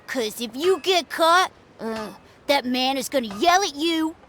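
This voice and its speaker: High-pitched, boastful little boy. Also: expressive, a little slow, fairly bright tone, a little hiss or hum. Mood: suspicious, boastful. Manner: pompous, blustering. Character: boastful, suspicious little boy who fancies himself a warrior. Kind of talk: video game voice acting.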